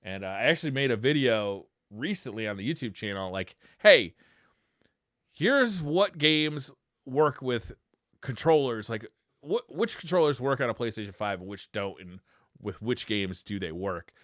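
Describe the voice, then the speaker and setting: A man's voice: medium pitch, expressive, moderate pace, fairly bright tone, no echo, clean studio sound. A man co-hosting a casual retro gaming podcast, talking in a conversational way. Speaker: podcast co-host.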